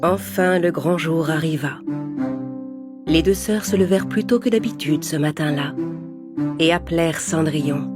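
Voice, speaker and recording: A woman reads a children's fairy tale as narrator. Her voice sits slightly low for a woman. Guitar-like music plays clearly beneath the scripted narration. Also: normal speed. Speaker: storyteller.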